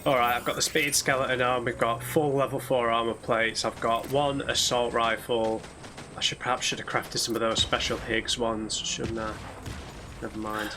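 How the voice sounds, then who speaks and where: A hoarse man commenting casually on a story game as he plays it, musing aloud and chatting to his viewers. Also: mid-range voice, flat delivery, normal speed, a little hiss or hum. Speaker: let's play gamer. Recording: loud game gunfire and vehicles behind voice.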